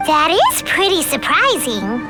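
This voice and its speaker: A little fairy companion from a video game with a very high, childlike voice. The delivery is cutesy, cheerful and animated. Prominent music plays underneath. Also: female voice, measured pace, exaggerated voice. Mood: puzzled. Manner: speaks of self in third person, cutesy. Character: small childlike fairy companion, cheerful, food-loving, third-person talker.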